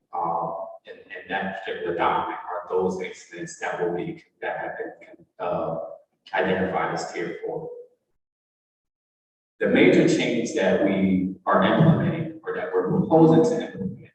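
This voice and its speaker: A man giving a formal, explanatory presentation as a school district administrator at a meeting. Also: sits low. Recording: very echoey, reverberant hall, distant mic.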